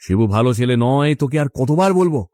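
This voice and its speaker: A man's voice: mid-range voice, expressive, fast talker, darkish tone, no echo, lightly acted. Guy doing a stern wronged dad ghost, accusing, horror cartoon, dry close sound. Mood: urgent warning. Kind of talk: horror cartoon story acting.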